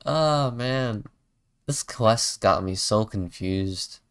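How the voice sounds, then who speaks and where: A game streamer giving casual livestream commentary in a creaky voice, with hesitant, meandering asides. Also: mid-range voice, drawn-out words. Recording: game music behind the voice.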